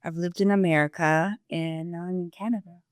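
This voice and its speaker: A young woman from Zimbabwe, a sales employee answering interview questions in a thoughtful, measured way, with some fillers. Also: fairly low pitch, fairly even intonation, clean tone, dry recording, clean studio sound.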